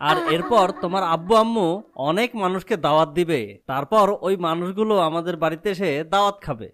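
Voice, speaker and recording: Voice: mid-range voice, fast talker, fairly bright tone, close to an everyday voice. A performer voicing a teasing, boastful grown-up who explains things in a comic cartoon. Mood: teasing, explaining. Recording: clearly noisy with clicks.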